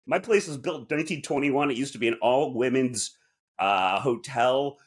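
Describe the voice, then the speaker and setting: A man's voice: mid-range voice, normal speed, slightly rough. A man co-hosting a podcast, chatting casually with a wry, joking manner. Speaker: podcast co-host. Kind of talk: casual comedic chat.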